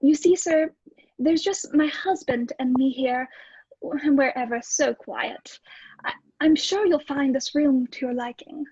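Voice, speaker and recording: An actress plays a meek British boarding-house landlady in an old-time radio drama. Her voice is very high and hushed, and she stammers deferentially. The sound is dry and a little dull. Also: unhurried, no room sound. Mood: timid, eager. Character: timid, eager-to-please married landlady in an old British lodging house.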